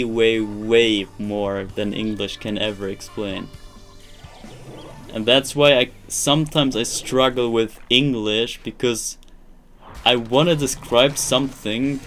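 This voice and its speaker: A young man who grew up speaking German rambles through a casual live-stream chat in English. His voice sits low and he talks slowly, often restarting as he hunts for the right words, while quiet game music plays behind him.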